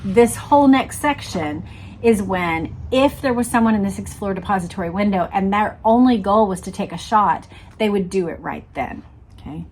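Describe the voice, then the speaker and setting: A woman's voice: medium pitch, lively intonation, normal speed, a little mellow. A woman reading a book aloud and breaking off to explain and point things out to the viewer. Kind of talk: read-aloud narration with explanatory commentary.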